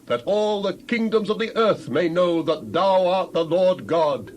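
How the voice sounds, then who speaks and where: A devout king acted by a man prays in a fairly high male voice. He is earnest and beseeching, reverent in every phrase of this scripture drama. The recording has clear background noise. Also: animated, measured pace. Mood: reverent pleading. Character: devout, pleading king of Judah in prayer. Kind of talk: dramatized scripture reading, prayer.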